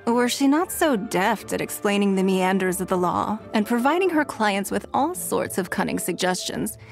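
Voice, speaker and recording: A woman's voice: medium pitch, darkish tone. An actress playing a poised, shrewd and wealthy woman leader in a video game, with a composed, refined and authoritative manner. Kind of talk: video game character voice lines.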